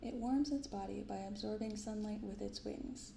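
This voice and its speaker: A soft-spoken woman hosts in a gentle ASMR style, with casual, unhurried commentary. Her voice is mellow with a creaky fry in it. The room has an audible echo around her. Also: mid-range voice, slight background noise. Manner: gentle, unhurried reading of card text. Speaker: host of a card-opening video. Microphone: binaural microphone.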